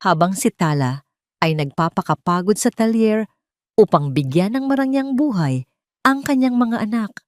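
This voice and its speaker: A woman narrates an audio drama with a measured, melodramatic delivery. Her voice sits a little low for a woman and is recorded very dry and close, with no room around it. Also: darkish tone, no room sound. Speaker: storyteller, narrator.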